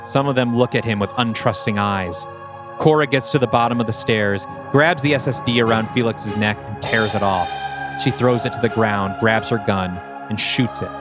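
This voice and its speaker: Low-pitched man narrating over music. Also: moderate pace. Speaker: narrator of an audio play. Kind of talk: scripted screenplay-style narration. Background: background music.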